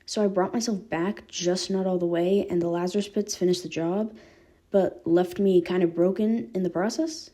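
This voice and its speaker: A woman's voice: lowish voice, steady tone, moderate pace, dark tone, natural voice. A woman voicing a wary, sardonic young man in a fan fiction reading. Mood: skeptical, snarky. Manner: sardonic, blunt. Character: snarky, wary young man, gruff but caring.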